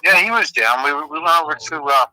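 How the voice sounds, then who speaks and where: A male caller chats with the host in a relaxed, friendly way. His voice is very bright and edgy, pitched a touch high. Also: brisk, poor audio. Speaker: phone caller. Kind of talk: casual phone chat.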